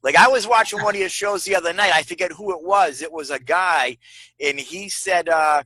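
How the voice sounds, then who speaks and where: Enthusiastic Rhode Island man, dry bright voice. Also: high voice, moderate pace, no echo, poor audio. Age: older. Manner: enthusiastic, warmly complimentary. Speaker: guest, ministry friend. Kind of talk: casual video chat. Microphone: video call.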